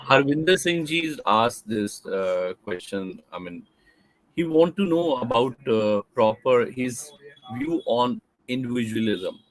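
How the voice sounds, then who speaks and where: A male host talks very slowly. He hesitates through a viewer's question with frequent fillers and restarts. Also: medium pitch, lively intonation, poor audio. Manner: hesitant, many fillers, halting phrasing.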